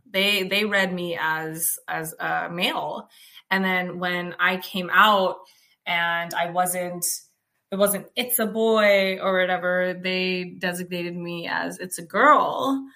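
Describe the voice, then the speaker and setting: A young woman from the United States with a loud, outspoken voice, telling her life story in a chatty way with many fillers and restarts. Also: on the low side. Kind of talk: personal storytelling, casual.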